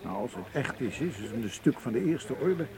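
An actor in a radio play voicing a calm, friendly Egyptian man with a measured, understated, gently dry manner. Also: mid-range voice, a crowd in the background, lightly acted. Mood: serious, advising. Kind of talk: radio drama acting.

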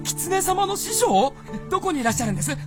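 A woman's voice: very high voice, sing-song, fairly quick, over loud music. A woman acting as a hot-headed, naive young hunter boy in a radio drama, blustering and stammering in rustic boyish speech. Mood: awed, eager.

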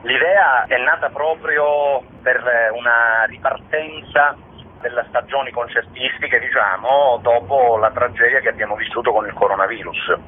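A man's voice: medium pitch, fairly even intonation, normal speed. A man speaking as an artistic director, giving explanatory answers as a radio interview guest. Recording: noisy narrow phone line.

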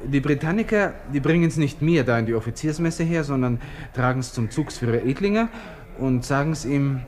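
An actor playing a proud, brooding young army lieutenant with a Viennese accent, muttering to himself in a colloquial, abrupt way in a radio drama. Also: male voice, medium pitch, lively intonation, moderate pace, darkish tone, a little hiss or hum, lightly acted. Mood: commanding, casual. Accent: Viennese Austrian. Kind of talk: 1960s German radio drama inner monologue.

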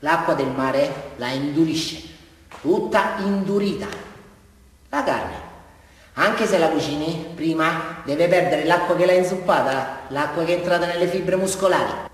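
In a very echoey hall, heard from off, an actor plays an unhinged man from a prison cell, with a southern Italian accent. His voice is high for a man. He rambles boastfully and repeats himself in a volatile stage monologue. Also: unhurried, lightly acted. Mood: creepy, subdued. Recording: echoey hall, distant mic, faint noise.

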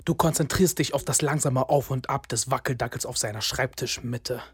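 A man's voice: medium pitch, steady tone, normal speed, breathy edge, dry recording. A young man narrating a radio play in the second person, terse and sardonic, often breaking off to restart the scene.

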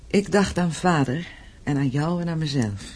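An actress in a radio play playing a thoughtful, foreboding young daughter of a wealthy family, earnest and wistful. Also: female voice, low-pitched, expressive, moderate pace, a little mellow, husky. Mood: wistful, worried. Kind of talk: Dutch radio play acting.

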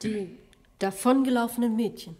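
A woman's voice: on the high side, moderate pace, dark tone, lightly acted. A woman playing an alarmed, worried wife in a German radio crime play. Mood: astonished. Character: alarmed, worried English wife, Mrs Gordon.

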